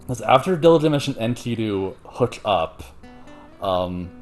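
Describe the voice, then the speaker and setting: A man's voice: fairly low pitch, expressive, unhurried, faint music behind. A man hosting a show, retelling a story in casual, slangy, profane and jokey chat. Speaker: host retelling an ancient myth.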